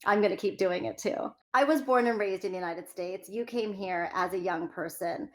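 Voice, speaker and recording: A woman's voice: medium pitch, normal speed. A woman from California leading an interview conversation, speaking in a polished, articulate way. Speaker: host.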